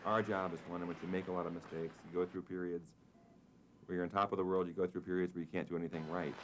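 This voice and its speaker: A man speaking quickly and reflectively in a corporate promo interview. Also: deep voice, little pitch movement. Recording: noisy ambience.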